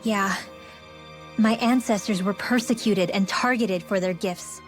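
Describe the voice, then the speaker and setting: A woman's voice: mid-range voice, a little slow, faint music behind, lightly acted. An actress playing a guarded, defiant young female mage in a dramatic video game cutscene, speaking seriously. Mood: solemn.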